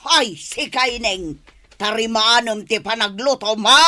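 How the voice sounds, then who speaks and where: A woman's voice: a little high, a little slow, pushed and bright, no room sound. A woman playing a stern, bossy madam and mother in a radio drama, commanding and scolding, with music behind her. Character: stern bossy mother and household madam opposing daughter's romance. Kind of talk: Ilocano radio drama acting.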